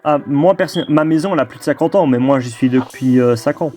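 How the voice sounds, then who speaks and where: A man's voice: fairly high pitch, a little fast, mellow. Man chatting casually over faint music. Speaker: prank-call video creator. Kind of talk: casual phone conversation.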